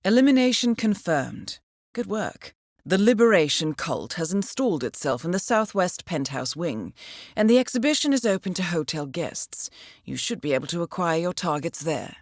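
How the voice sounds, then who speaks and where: A woman's voice: lowish voice, very animated, normal speed, dry recording, studio quality. An actress playing a cool-headed female intelligence handler in a video game, giving scripted mission briefings in a composed, formal, dryly understated way. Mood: poised briefing.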